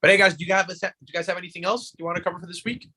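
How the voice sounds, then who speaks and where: Fast talking guy hosting a podcast, bright punchy voice, repeats himself a lot, casual. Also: sits high, fairly even intonation, slightly rough. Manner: repeats phrases for emphasis. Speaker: podcast host.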